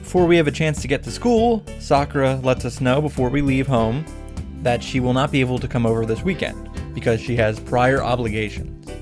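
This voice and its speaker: A man's voice: medium pitch, brisk. Male recap host, mid-range voice. Casual comedic narration, sarcastic and profane, light music underneath. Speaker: host of visual novel reaction summaries.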